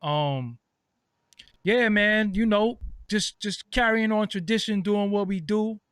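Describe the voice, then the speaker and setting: A man's voice: high-pitched, slow, fairly bright tone, clean tone, dry recording, studio quality. A man hosting an online interview, chatting casually with lots of fillers and street slang. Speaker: host.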